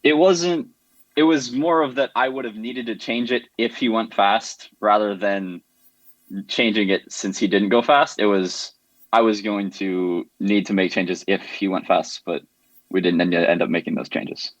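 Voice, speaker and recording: A young man with an American accent, an Olympic swimmer giving relaxed, matter-of-fact interview answers. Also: on the low side, measured pace, no room sound, dull audio. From Jamaica, raised in the United States.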